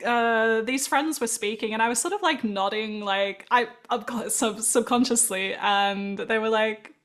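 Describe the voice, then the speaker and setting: A woman's voice: fairly high pitch, measured pace, fairly bright tone. A woman hosting a podcast interview who chats casually, laughs often and lets her sentences trail off. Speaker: podcast host.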